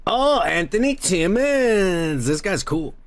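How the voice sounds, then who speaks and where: A man's voice: high voice, big pitch swings, slow. An American man hosting a podcast at home, rambling through viewer comments with lots of swearing and fillers. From United States. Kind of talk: casual profane commentary. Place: dim room at home.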